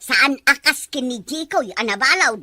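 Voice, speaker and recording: A bright, forceful actress as a gossiping neighbour, with very lively pitch swings. She sounds nosy and animated, in radio-drama style. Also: moderate pace, dry recording, full character voice. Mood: gossipy. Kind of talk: Ilocano radio-drama acting.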